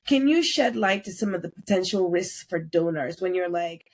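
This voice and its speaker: A woman hosting a podcast interview, speaking conversationally with effusive, expressive wording. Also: fairly low pitch, moderate pace. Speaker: podcast host.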